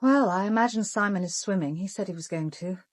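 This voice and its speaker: A woman's voice: medium pitch, fairly quick, no room sound, natural voice. Composed English woman detective, close dry sound actress. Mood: cool, frank. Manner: composed, matter-of-fact. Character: cool, observant young woman private detective. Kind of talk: British radio drama acting.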